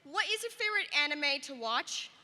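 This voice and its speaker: High, piercing woman in a reverberant hall. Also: expressive, fairly quick, bright, forceful tone. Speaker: audience member asking a question. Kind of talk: casual question to a panel. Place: large convention hall. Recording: reverberant hall public address.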